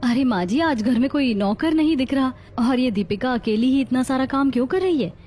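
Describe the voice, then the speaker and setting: Caring working sister-in-law for a family audio drama, female actor: highish voice, protective, gentle advice. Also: lively intonation, brisk, slight background noise. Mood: concerned. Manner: concerned, gently advising. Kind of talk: dramatized moral story.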